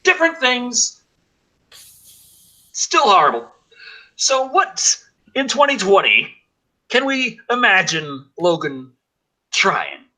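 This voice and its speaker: A man's voice: high voice, takes it slowly, long pauses, poor audio. A man in his thirties hosting a livestream, chatting casually, joking and reading out chat donations. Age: 30s. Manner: animated, joking, reads chat donations aloud. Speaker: host of a livestream show.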